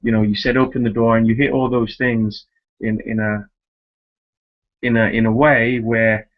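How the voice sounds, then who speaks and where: A man's voice: mid-range voice, little pitch movement, moderate pace. Guy hosting a call interview, emphatic, keeps asking right?, kinda dull lo-fi line. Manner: emphatic, repeats words and seeks agreement with tag questions. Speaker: host, youth soccer club director. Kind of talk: conversational interview on a conference call. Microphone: conference call. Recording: muffled, lo-fi conference call line.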